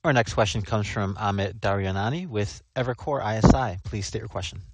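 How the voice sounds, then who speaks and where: A man's voice: fairly low pitch, fairly quick, husky. A man working as a conference call operator, reading out scripted announcements of the next question.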